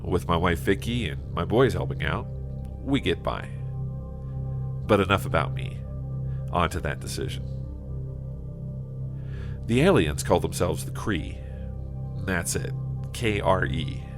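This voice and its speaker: Deep-voiced, earnest middle-aged farmer. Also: male voice, lively intonation, unhurried, vocal fry, long pauses, full character voice. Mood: earnest, troubled. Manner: folksy, hesitant, earnest. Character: plain-spoken male Iowa farmer, 51, troubled and earnest. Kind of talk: first-person audio drama narration. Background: music.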